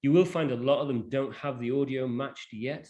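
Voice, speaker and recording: A man presenting a digital library informally to an online session, speaking in a halting, hesitant way. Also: medium pitch, mellow, dull audio. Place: online video call.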